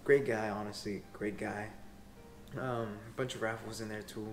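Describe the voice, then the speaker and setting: A man's voice: lowish voice, level tone, moderate pace, faint music behind. A young man, a sneaker resale vlogger, talking casually to camera with loose slang and lots of "like" and "bro", seated in a small room. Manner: laid-back slang, fillers like "like" and "bro". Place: small indoor room in front of window blinds.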